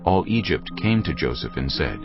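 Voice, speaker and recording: Deep creaky guy narrating the bible, lively intonation, soft music behind, bit muffled. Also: moderate pace. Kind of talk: dramatized scripture narration. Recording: dull lo-fi audio. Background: faint music.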